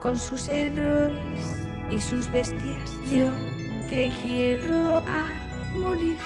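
A male singer playing a singing algorithm that personifies a constitution, in sustained operatic zarzuela style over loud musical accompaniment. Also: very high-pitched, steady tone, very slow, over loud music, full character voice. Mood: proud. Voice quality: sung, sustained melodic lines.

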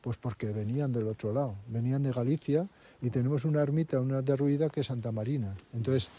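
A man, working as a local guide and explaining history and landscape in a halting way, with many fillers and restarts. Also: mid-range voice, mellow.

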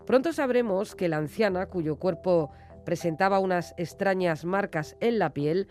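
A woman's voice: medium pitch, darkish tone. A woman hosting a radio book programme, delivering a semi-scripted review in a narrating style.

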